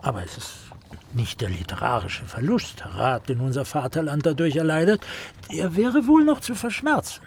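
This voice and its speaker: An actor playing an opinionated, prying gentleman in a German radio play, declaiming pompously and insistently. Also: a little high, sing-song, normal speed, gravelly, slight background noise.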